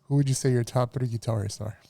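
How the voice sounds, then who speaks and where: A man hosts a casual podcast in a deep, dark, mellow tone. The sound is dry and close, with no room echo. He stays laid-back, mostly giving short acknowledgments and asking the guest questions. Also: fairly even intonation, fast talker. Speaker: podcast host.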